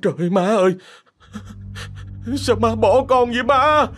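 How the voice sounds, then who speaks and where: A man's voice: very high voice, lively intonation, a little slow, fairly bright tone, soft background music, pitched above the performer's own voice, heavily acted. A man voicing a timid young husband who whines and trembles. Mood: whimpering. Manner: whiny, trembling.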